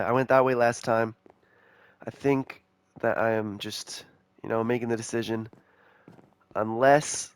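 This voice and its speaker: A young man giving casual, rambling commentary over a game, excitable and chatty with viewers, his voice a little nasal from a cold. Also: mid-range voice, clear, resonant tone, no echo. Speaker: gaming YouTuber.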